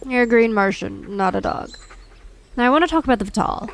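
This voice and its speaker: A woman's voice: fairly high pitch, lively intonation, brisk, slight background noise. A woman playing a brusque, haughty relative of the gods in an audio drama, with a dry, impatient manner. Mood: curt, superior. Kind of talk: audio drama character acting.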